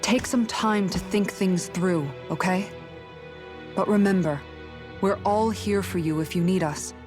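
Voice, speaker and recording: A caring young woman from a video game voiced by an actress, soothing and encouraging, with a mid-pitched voice. She speaks in a measured way over clear background music. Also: close to an everyday voice. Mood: gentle, reassuring. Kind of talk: video game English dub acting. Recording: game music playing behind.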